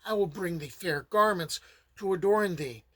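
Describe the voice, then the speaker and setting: A man's voice: sits high, moderate pace, bright, forceful tone, no echo. Stately old-speaking dragon, as a man reads him. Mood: courtly. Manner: archaic, stately speech. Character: male dragon, ancient and courtly.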